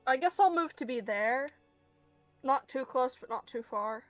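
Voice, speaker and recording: A woman with a high voice takes part in a tabletop roleplaying game. She talks casually and slowly, with faint music in the background. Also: expressive. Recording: music behind the voice.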